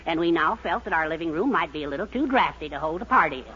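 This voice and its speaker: An actor playing a bumbling, well-meaning henpecked husband with a husky voice in a 1940s radio sitcom. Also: male voice, a little high, expressive, fast, noisy background, lightly acted. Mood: smug. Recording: dull 1940s radio recording.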